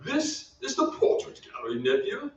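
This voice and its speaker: A man's voice: extremely high pitch, wildly swooping pitch, normal speed, over loud music, exaggerated voice. A man playing a mournful old English ghost nobleman in a theatrical, archaic, old-time radio drama style. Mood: lofty, reluctant. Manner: theatrical, archaic and lofty speech. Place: theatre stage, heard from off. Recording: distant echoey stage, dull with noise.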